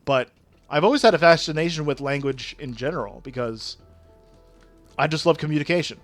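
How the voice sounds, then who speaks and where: A man's voice: fairly high pitch, a little fast, soft background music. A man with a regional French accent, a native French speaker, chatting casually with viewers on a game stream and reading their messages aloud. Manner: chatty, reads chat aloud, uses big words.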